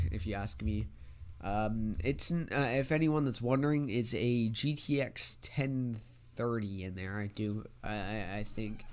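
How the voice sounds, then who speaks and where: Young male gaming vlogger, mid-pitched, nasal from a cold, loose and rambling delivery. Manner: rambling, many fillers and restarts. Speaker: gaming channel host. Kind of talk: casual vlog chat. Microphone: USB desk mic with pop filter.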